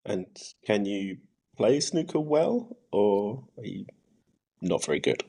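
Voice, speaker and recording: Husky, lowish-voiced male podcast host. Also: big pitch swings, slow, dark tone. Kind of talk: casual interview questions.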